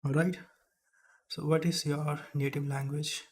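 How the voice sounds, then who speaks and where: A man's voice: a little high, level tone, a little slow. A young man with an Indian accent, whose first language is Rajasthani, hosting a live stream in casual chat, hesitant with many fillers and restarts.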